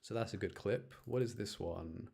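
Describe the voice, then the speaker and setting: A man giving casual gameplay commentary in an excitable way, repeating phrases for emphasis as he shows clips. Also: on the low side, moderate pace, creaky, a little hiss or hum. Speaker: gamer showing gameplay clips.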